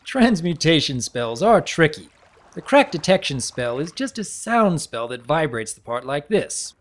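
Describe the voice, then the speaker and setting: A man voicing a bookish, kindly pony baron who talks in a wordy, mock-formal, gently teasing way for a storybook reading. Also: fairly high pitch, sing-song, measured pace, voice pushed up. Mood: instructive, amused.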